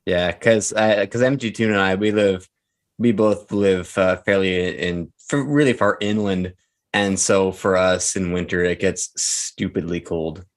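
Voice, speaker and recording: A man's voice: deep voice, a little slow. A Canadian man with a strong Canadian accent, chatting and joking casually on a live stream. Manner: chatty, jokey, swears lightly. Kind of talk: casual chat.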